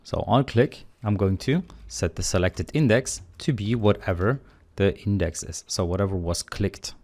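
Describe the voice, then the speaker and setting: Man talking through code, lowish voice. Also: moderate pace. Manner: explains code step by step, relaxed and conversational. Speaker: programming instructor. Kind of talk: screencast coding tutorial.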